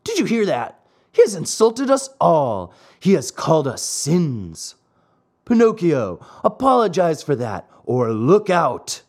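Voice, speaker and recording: A man's voice: on the high side, wildly swooping pitch, slow, darkish tone, exaggerated voice. A man voicing sneering, bullying schoolboys in a storybook, jeering and scolding. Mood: threatening. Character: gang of sneering, bullying schoolboys, threatening and teasing.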